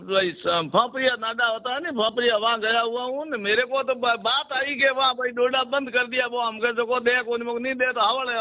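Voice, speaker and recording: A village man with a rural Marwari accent, speaking informally and deferentially, a little defensive, on a phone call. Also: extremely high pitch. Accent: rural Rajasthani, Marwari. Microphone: phone line. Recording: tinny narrowband phone-call recording.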